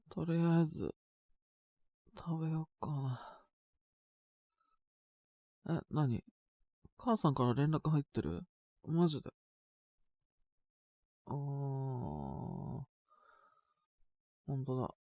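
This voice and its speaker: A man playing a sick, stubborn but shy teenage boy in an intimate Japanese audio drama, speaking in a halting, casual, congested mumble. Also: fairly high pitch, dry recording, studio quality, heavily acted. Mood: weary, unwell. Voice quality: congested cold-sick voice with coughs.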